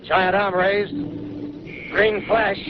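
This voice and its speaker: An actor playing an urgent military bomber commander, giving clipped reports in 1930s radio drama style. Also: male voice, sits high, level tone, very slow. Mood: panicked, desperate. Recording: noisy, muffled 1930s radio broadcast with engine drone.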